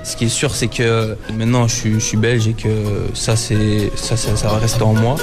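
A young man in his twenties talks about his own play in a flat, even voice. He stays plain and modest. Music plays clearly behind him. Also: on the low side, moderate pace. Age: 20s. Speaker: footballer. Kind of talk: interview clip.

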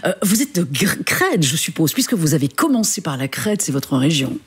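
A woman's voice: sits low, very animated, moderate pace, breathy edge. A woman presenting and interviewing on a live morning radio show. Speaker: radio host.

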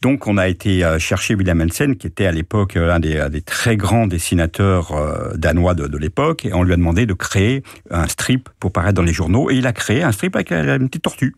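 A man's voice: low voice, animated, a little fast, gravelly, hardly any pauses, dry recording, studio quality. A man from France, a radio guest telling a story with many halts and repeated words. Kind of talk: radio interview.

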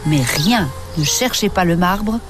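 A woman narrating a radio documentary in a calm, measured read. A clear music bed plays beneath her voice. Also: lowish voice.

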